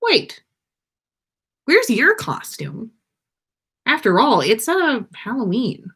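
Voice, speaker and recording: A woman's voice: fairly high pitch, huge pitch swings, takes it slowly, a bit bright, slightly rough, pitched above the performer's own voice, heavily acted. A woman voicing a good-humored young male wizard in a storybook reading, sweetly teasing and proud. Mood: curious.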